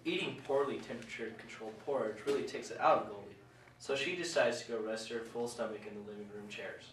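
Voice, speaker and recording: A man's voice: medium pitch, quick pace, fairly bright tone. A man narrating a scripted storybook tale as part of a puppet show. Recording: very echoey room, distant, faint noise.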